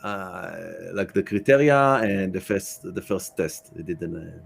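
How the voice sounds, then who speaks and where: A man giving casual interview answers as a podcast guest, halting and matter-of-fact. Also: low-pitched, lively intonation, vocal fry. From Israel.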